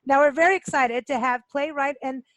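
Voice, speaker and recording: A woman with a fairly high voice hosts a chatty interview show. She is effusive and tends to double up words for emphasis. The sound is very dry, with no room around it. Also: steady tone, fairly quick, a bit bright, no room sound. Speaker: radio show co-host and travel publisher.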